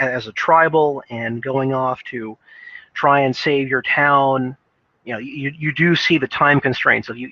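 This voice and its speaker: A man giving unscripted opinion commentary as a video game commentator, often halting and restarting his phrases. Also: mid-range voice, gravelly, no room sound, dull audio.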